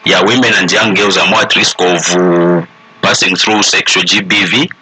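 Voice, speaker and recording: A man with a Zambian accent answering as a guest in a radio discussion, speaking deliberately with short pauses. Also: sits low, steady tone, a loud crowd. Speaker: studio guest. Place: radio studio. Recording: radio broadcast, voices in background.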